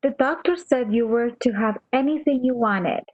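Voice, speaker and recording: A woman acting in a radio play as a faithful nurse, gentle, deferential and reassuring, on a slightly dull recording. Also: on the high side, normal speed, no room sound, natural voice. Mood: attentive. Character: faithful, soothing, deferential female nurse hiding bad news.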